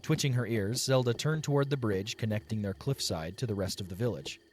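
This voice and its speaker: A man's voice: lowish voice, a little fast, a little mellow, slight background noise. A man reading scripted story narration as the narrator of an audio drama.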